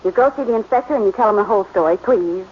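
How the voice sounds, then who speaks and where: A woman's voice: medium pitch, rapid-fire, natural voice. An actress playing a sleuth's loyal, insistent girlfriend in a 1940s radio crime drama. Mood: urgent. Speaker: radio actor. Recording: muffled, noisy 1940s radio broadcast.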